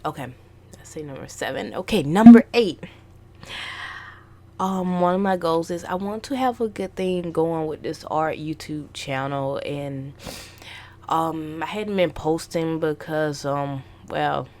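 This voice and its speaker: A woman's voice: sits low, unhurried, mellow. A young woman artist casually rambling about her goals, stuffy from a flu, with many ums and restarts. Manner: meandering, lots of ums and restarts. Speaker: artist vlogger.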